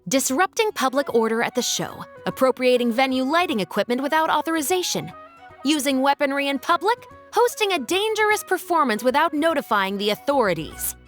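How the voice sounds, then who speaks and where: An actress playing a strict, formal young police officer in a video game, with clipped official delivery. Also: female voice, high-pitched, big pitch swings, fairly quick, soft background music. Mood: stern, official.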